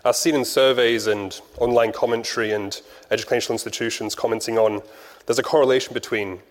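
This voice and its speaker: A man moderating a panel discussion on stage, brisk and sometimes restarting his sentences. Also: medium pitch. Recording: hall reverb.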